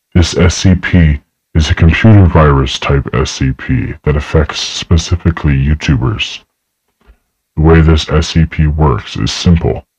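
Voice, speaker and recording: A man playing a grave research director, narrating a horror case file in a formal, measured way. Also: very deep voice, darkish tone, breathy edge, no echo, heavily acted. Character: grave male research director logging a dangerous entity.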